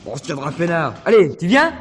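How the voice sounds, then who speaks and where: Goading young tough, rapid slangy speech, raised pitch, reverberant room. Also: male voice, big pitch swings. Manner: slangy, pushy. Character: goading young sidekick in a small-town gang.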